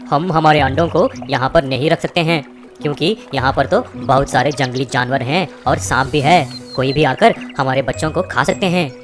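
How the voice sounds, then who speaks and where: Woman doing a mother tortoise, cartoon, anxious, polite, lowish voice, music behind. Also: normal speed, lightly acted. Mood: worried. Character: worried female tortoise, expecting mother.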